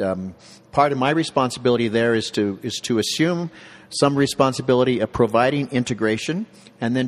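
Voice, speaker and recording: Earnest local man, mid-pitched, interview chat, long reverb on the recording. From Southern Colorado. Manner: earnest, restarts words often. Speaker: community center staff. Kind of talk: casual interview conversation.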